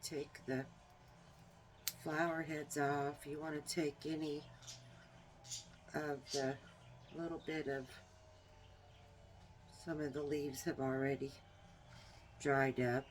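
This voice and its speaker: A woman with a small, soft voice, demonstrating a herbal remedy in a homey, unhurried way while she works. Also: extremely low pitch. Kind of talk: casual how-to demonstration.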